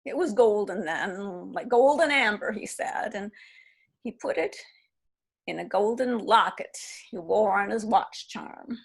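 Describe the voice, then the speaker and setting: A woman reading the part of a heartbroken aging woman still mourning a lost love, in a halting, wistful way, for a readers theatre play. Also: medium pitch, vocal fry, slightly rough. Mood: grieving.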